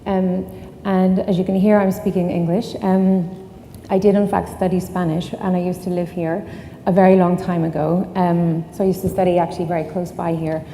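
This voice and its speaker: A woman's voice: on the low side, flat delivery, moderate pace, warm tone. A woman with an Irish accent giving a conference talk, explaining steadily with many ums. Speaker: conference speaker. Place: conference hall. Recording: echoey hall, distant, faint noise.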